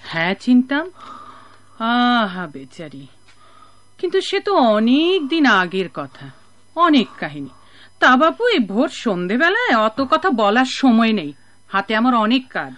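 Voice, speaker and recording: Casting: a hospitable inn landlady, caring but bossy. Bright-pitched, very animated delivery, radio play style. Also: unhurried, muffled recording. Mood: brusque, wary. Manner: expressive, fussing, scolding yet caring. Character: kindly, motherly woman innkeeper, brisk but hospitable. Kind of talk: Bengali radio drama acting.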